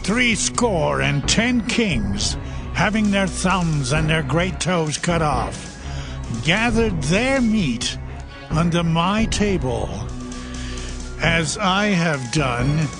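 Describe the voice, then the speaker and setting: Captured, maimed king for Bible audio drama: extremely slow, weighty, over clear musical backing. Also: fairly high pitch, sing-song, over music, exaggerated voice. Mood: anguished, resigned. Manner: slow, drawn-out, weighty delivery. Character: captured, maimed Canaanite king confessing his fate.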